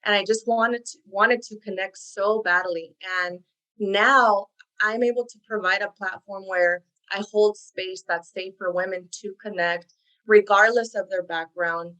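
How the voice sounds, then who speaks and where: A woman's voice: medium pitch, steady tone, unhurried, pushed and bright, rough recording. Earnest woman, Spanish native speaker. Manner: earnest, reflective. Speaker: career coach guest. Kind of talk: podcast interview.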